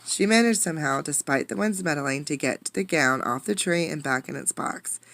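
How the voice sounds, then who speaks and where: A woman reading a picture book aloud with dramatic, emphatic delivery, pausing to put questions to listeners. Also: low-pitched, a bit bright. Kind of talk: expressive storybook read-aloud with questions to listeners.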